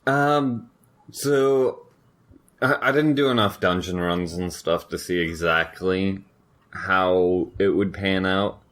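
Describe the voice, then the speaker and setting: Deep-voiced man, measured and halting. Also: a bit bright. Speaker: podcast co-host. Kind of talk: casual gaming discussion.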